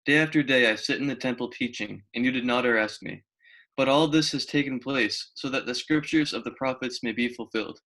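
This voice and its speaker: A man reading the part of Jesus, calm and resolute, in a church Passion reading. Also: mid-range voice, a little fast, lightly acted, poor audio. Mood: grave, resolute.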